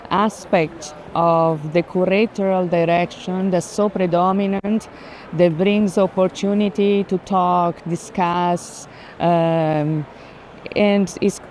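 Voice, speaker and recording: A woman with a slightly low voice talks through art in conversational, non-native English. She often stops to find the right word. Faint ambient noise of a busy space is behind her. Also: fairly even intonation, a little mellow. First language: not English. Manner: halting, searches for words. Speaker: art collector, guest. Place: indoor art fair, on the move.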